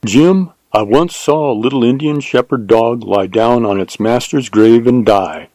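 Man voicing a grave, measured frontier rancher. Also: medium pitch, mellow, dry recording, close to an everyday voice. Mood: solemn. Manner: sonorous, measured. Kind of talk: audiobook character dialogue.

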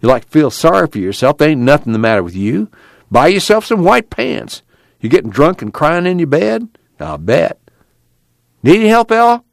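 A man's voice: on the high side, huge pitch swings, moderate pace, creaky, no echo, studio quality, pitched above the performer's own voice, exaggerated voice. A man voicing a brash, impatient young working man with folksy, blunt, profane rural speech in an audiobook. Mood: blunt, needling. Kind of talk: acted audiobook dialogue.

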